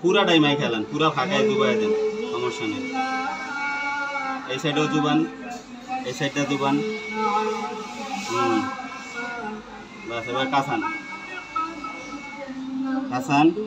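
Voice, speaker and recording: A man's voice: medium pitch, slow, pauses often, loud music behind the voice, rough recording. A man with a colloquial Bangladeshi accent coaching a student hands-on as a repair trainer, in short, repeated commands. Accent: Bangladeshi colloquial Bengali.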